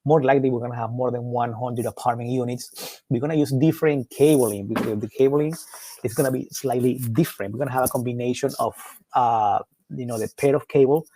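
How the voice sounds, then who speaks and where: Mellow-voiced man presenting a product webinar. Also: mid-range voice, fairly even intonation, no echo, low-quality recording. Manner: halting, often repeats and rephrases words mid-sentence. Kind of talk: informal technical product presentation.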